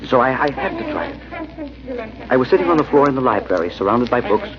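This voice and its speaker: An actor playing an earnest, haunted amateur sleuth who also narrates an old-time radio thriller, dramatic and slightly halting. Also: male voice, mid-range voice, wildly swooping pitch. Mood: brooding. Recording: muffled old radio with heavy hiss.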